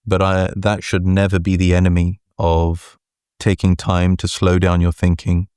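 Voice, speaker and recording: Deep-voiced guy, pretty monotone, calm measured interview answers. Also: smooth, dry recording, studio quality. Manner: measured, deliberate, frequent "right?" and "look". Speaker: venture capital partner, guest.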